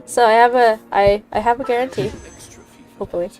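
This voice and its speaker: A woman game streamer chatting casually in a halting, giggly, playfully dramatic way. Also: on the high side, steady tone, a little mellow, rough recording. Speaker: game livestreamer. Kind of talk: casual live gaming chat.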